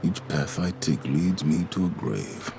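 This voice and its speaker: A man's voice: expressive, dark tone, soft background music, full character voice. An actor playing a weary, solemn older male commander in grief, in a dramatic game cutscene monologue. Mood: despairing. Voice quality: gravelly, very deep. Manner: slow, solemn, halting.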